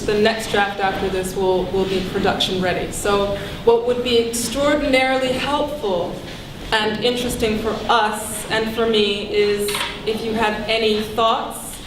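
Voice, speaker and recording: Careful woman addressing an echoey noisy hall. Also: fairly high pitch. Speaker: playwright leading audience discussion. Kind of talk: inviting audience feedback.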